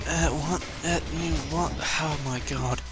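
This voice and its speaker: A man's voice: on the high side, steady tone, a little slow, loud music behind the voice. A man talking casually in a rambling, hesitant audio log, grumbling and giving blunt opinions. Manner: hesitant, meandering, opinionated grumbling with mild swearing. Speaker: gaming vlogger.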